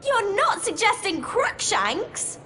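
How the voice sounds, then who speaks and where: Very high and wildly swooping, this is a bookish young schoolgirl witch for a game cutscene. She talks in an eager, precise way and is quick to suggest a plan. Her delivery is slow and deliberate. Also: very animated, pushed and bright, full character voice. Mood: earnest. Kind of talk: video game cutscene voice acting.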